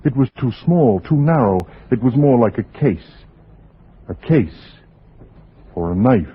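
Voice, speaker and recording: An actor narrating a 1940s radio suspense drama in a measured, dramatic way, with weighty pauses. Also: male voice, medium pitch, lively intonation, husky. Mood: tense, brooding. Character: grave male narrator of a suspense tale. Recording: muffled 1940s radio recording.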